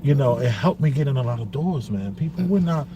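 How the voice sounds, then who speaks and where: A man co-hosting a casual podcast chat, talking in an animated way and acting out what other people said. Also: medium pitch, brisk. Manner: animated, acts out quotes in other voices. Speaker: podcast co-host. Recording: noisy background.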